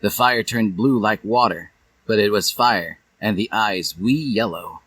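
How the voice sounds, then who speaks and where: A man's voice: lowish voice, unhurried, fairly bright tone, slightly rough, poor audio. A man narrating fan fiction as a comic dramatic reading, with a theatrical, mock-serious delivery.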